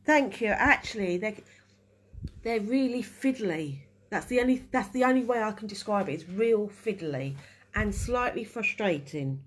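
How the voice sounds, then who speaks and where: A woman's voice: medium pitch, expressive, moderate pace. A woman with a head cold and a stuffy voice, chatting through a live nail-art tutorial while she works. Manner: chatty, restarts while working. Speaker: nail technician teaching a live class.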